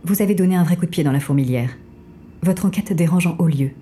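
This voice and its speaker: An actress playing a mysterious resistance agent in a noir audio drama, speaking formally with cool, polite composure and quiet command. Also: female voice, fast, slight background noise, close to an everyday voice. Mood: calm, insistent. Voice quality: low, dark. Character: mysterious female resistance agent guiding the inspector, coolly composed.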